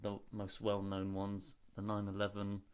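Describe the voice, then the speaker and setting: A man's voice: fairly low pitch, completely flat delivery, a little slow, darkish tone, clean tone. A young man raised in Singapore and Hong Kong, co-hosting a casual podcast. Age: young adult. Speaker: podcast co-host.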